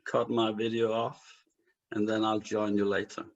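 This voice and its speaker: A man whose first language is Danish, hosting an improv session on a video call and asking questions in an unhurried way. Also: fairly low pitch, flat delivery, creaky, dull audio. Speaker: host. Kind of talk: casual chat on a video call.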